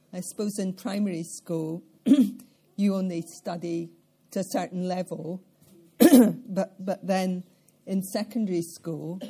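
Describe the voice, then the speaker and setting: An older woman from central Scotland with a Scottish accent, recalling her life in a measured, reflective way during an interview. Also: on the low side. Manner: measured, reflective, with occasional soft laughs and throat clearing.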